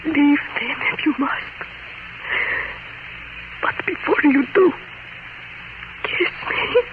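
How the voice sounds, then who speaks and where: A woman's voice: high voice, slow. An actress playing a passionate, desperate wife who implores her lover, in theatrical old-time radio melodrama style. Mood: tender, resigned. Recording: tinny, noisy vintage radio recording.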